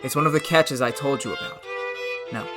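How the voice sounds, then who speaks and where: A man acting an undead son who has come back as a wolf, speaking quietly and ominously in a radio drama. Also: mid-range voice, brisk, music in the background, lightly acted. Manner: quiet, soft, ominous and regretful. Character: undead young man returned as wolf, eerie calm.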